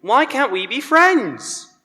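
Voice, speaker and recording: A man playing a warm, good-humoured younger gentleman in a live radio play, speaking earnestly. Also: very high voice, very animated, slow pace, heavily acted. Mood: pleading, earnest. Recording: live in echoey church hall.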